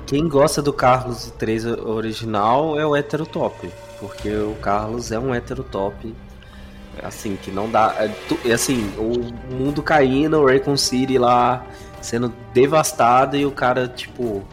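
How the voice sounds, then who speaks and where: A Brazilian man in a casual podcast chat, halting as he goes and circling back to rephrase himself. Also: medium pitch, over music. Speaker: podcast co-host.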